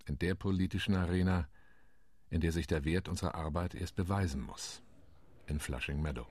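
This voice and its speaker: A delegate looking back on the talks, with a very deep, husky voice recorded close and dry. He speaks calmly and with quiet pride. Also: moderate pace, no echo. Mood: reflective. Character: male delegate recalling the negotiations. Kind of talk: reflective monologue, radio play.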